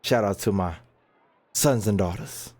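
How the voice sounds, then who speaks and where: A man's voice: lowish voice, a little slow, a little mellow. Slangy, jokey man playing a video game. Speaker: gaming YouTuber. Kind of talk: casual game playthrough commentary, reading dialogue aloud.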